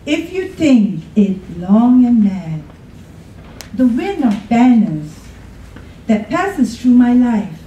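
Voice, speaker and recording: A woman giving a dramatic poetry recitation in a theatrical way, with pauses, at a podium. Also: high-pitched, dark tone. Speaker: poetry contest reader. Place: college room, at a podium. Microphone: podium microphone, filmed from the audience. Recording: echoey room, distant, faint noise.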